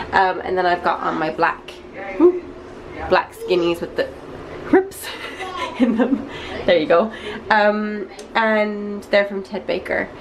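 A young woman in her twenties chatting casually to a handheld camera, giggly and a bit congested from being sick. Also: mid-range voice. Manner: chatty, giggly, filming herself at arm's length. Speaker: vlogger. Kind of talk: casual vlog chat. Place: busy hotel restaurant at night. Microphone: handheld camera at arm's length. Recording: noisy crowded restaurant behind.

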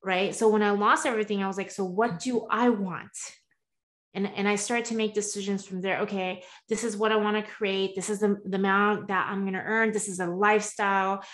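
A woman's voice: medium pitch, fairly quick. A woman in her forties, born in the US and partly raised in Taiwan, telling her story as a guest coach over a video call from a room at home, earnest and with many asides. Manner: earnest, animated hand gestures, frequent "right?" asides. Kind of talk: candid interview storytelling.

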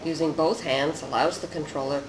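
A narrator of medium pitch reads a scripted technical demonstration. The delivery is measured and explanatory. The recording is roomy and echoey, with noticeable noise behind the voice. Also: fairly even intonation. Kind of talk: scripted narration of a research demo.

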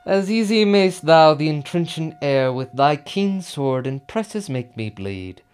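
A man's voice: on the high side, expressive, measured pace, a little mellow. A man playing a doomed, defiant tyrant king in a Shakespearean radio drama, declamatory and swinging between brooding and roaring. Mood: grim defiance.

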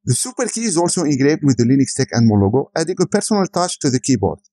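A man's voice: medium pitch, big pitch swings, quick pace, dark tone. A man who is a native Arabic speaker, giving a scripted voice-over product review as a tech reviewer.

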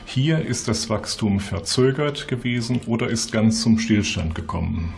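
A man speaking as a scientific expert, explaining findings in careful, halting phrases. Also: fairly low pitch, lively intonation, gravelly. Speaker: physicist, expert being interviewed.

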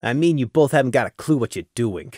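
A narrator voicing a stern, gruff male pegasus squad leader who talks low and commanding. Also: animated, quick pace, a little mellow, dry recording, studio quality, lightly acted. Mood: scolding. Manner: commanding, low-voiced, scolding.

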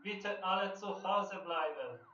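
A man's voice: sits high, a little slow, dull audio, heavy background noise. A man playing a crier who makes declamatory, repeated announcements in an amateur cartoon. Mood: urgent warning. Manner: declamatory, repetitive calls. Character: loud circus crier and alarm announcer. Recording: echoey, distant, loud music or sirens.

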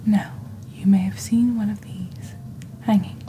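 A woman softly presenting products in a hushed, breathy, gentle and deliberate ASMR style, like a scripted fantasy shopping channel. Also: mid-range voice, steady tone, slight background noise. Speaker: host of a witch-themed shopping channel roleplay.

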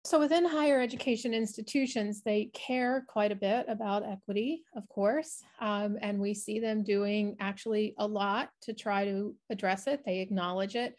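Woman, middle aged, medium pitch, explaining academic stuff in an online talk. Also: fairly even intonation, normal speed, few pauses, a little mellow. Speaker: researcher presenting an online talk. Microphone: video call, webcam framing.

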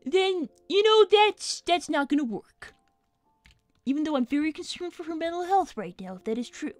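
A very high, animated cartoon fox boy played by a woman chats through a game livestream. He sounds young, earnest and excitable. Music plays behind him. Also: moderate pace, dry recording. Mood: earnest, concerned. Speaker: livestream host playing a game. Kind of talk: in-character casual game commentary.

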